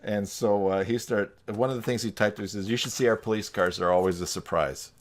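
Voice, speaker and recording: A man's voice: fairly low pitch, fairly even intonation, a little fast. A man hosting a casual live stream over a video call, chatting and joking with guests.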